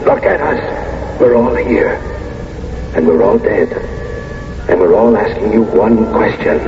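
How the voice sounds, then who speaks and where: An actor in a 1970s radio drama playing the insistent, accusing ghost of a dead soldier comrade, taunting and dramatic. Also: male voice, fairly low pitch, expressive, slow pace. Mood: eerie accusing. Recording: noisy dull old radio, cavernous reverb.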